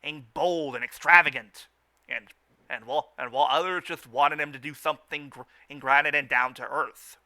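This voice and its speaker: A man's voice: on the high side, fairly even intonation, normal speed, sharp tone. A man in a casual fan discussion as a podcast co-host, often stammering and repeating words and phrases as he goes. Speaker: podcast co-host reviewing a cartoon.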